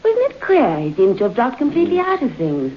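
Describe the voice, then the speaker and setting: A woman's voice: fairly high pitch, huge pitch swings, fairly quick, breathy edge, muffled recording, full character voice. An actress playing an effusive, gossipy young society woman in a vintage radio drama. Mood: gushing.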